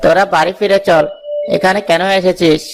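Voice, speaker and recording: A man's voice: high-pitched, steady tone, fairly quick. A man voicing an earnest, fatherly, righteous village man in a moral-story cartoon. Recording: music under the voice.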